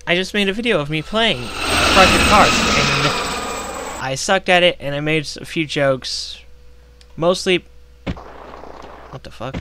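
A hoarse man rants indignantly over gameplay, swearing freely as he talks into a gaming headset. His voice sits at an ordinary middle pitch. A steady hiss and occasional clicks sit under the recording. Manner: profane, indignant ranting. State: losing his voice. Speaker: gaming vlogger. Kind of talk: casual ranting commentary over gameplay.

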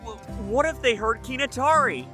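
A man's voice: very high-pitched, animated, normal speed, music in the background. A man playing an anxious, hesitant male villager fretting over a friend in an audio drama. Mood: worried. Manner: hesitant, worried.

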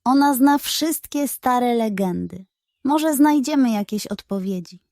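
A woman's voice: on the high side, animated, unhurried, mellow, no echo, studio quality. A woman voicing a brave, earnest young male kitten, the determined hero of a children's storybook.

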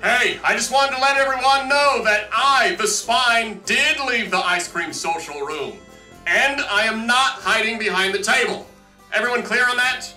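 A man voicing an earnest, fussy robot in an animated comedy, deliberate and matter-of-fact. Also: very high-pitched, faint music behind, full character voice, poor audio.

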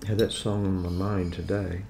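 Older guy in his 70s chatting while eating, gravelly voice, noisy room w silverware clinking. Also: deep voice, level tone, mellow. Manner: halting short phrases, talking while eating. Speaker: vlogger eating on camera. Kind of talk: casual mealtime chat to camera. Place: home dining room. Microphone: camera across the table.

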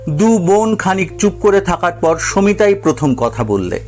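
A man narrating a scripted dramatic story in a measured, literary storytelling manner. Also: high voice, big pitch swings, a little mellow, faint music behind.

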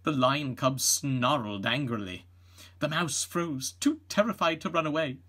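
A middle-aged man reading a children's storybook aloud as an expressive narrator. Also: a little high, measured pace, breathy edge.

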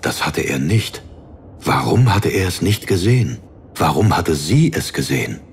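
A man narrating a fantasy audiobook in a dramatic, solemn, deliberately phrased style. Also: low voice, very animated, gravelly, slight background noise.

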